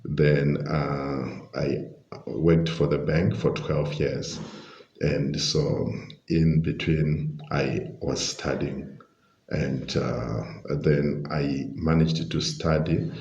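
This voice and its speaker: A middle-aged man with a Zimbabwean accent, giving measured, genial, explanatory answers as an interview guest. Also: extremely low pitch, steady tone, gravelly, darkish tone. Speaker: podcast guest, leadership coach and pastor. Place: restaurant. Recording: dull, roomy, echoing sound.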